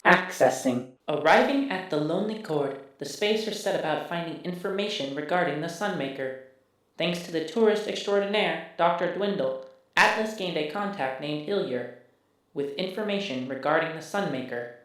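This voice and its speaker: A man's voice: fairly high pitch, expressive, normal speed, a bit bright. A man voicing a computer memory system that formally recites a scripted recap in a sci-fi game. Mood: formal, impassive. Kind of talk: scripted narration. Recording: very echoey, distant processed voice.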